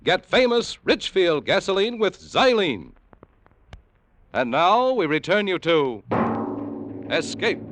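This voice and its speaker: A man's voice: high-pitched, sing-song, slow pace, long pauses, a bit bright, soft background music. An American man reading a 1940s radio commercial in an emphatic announcer style.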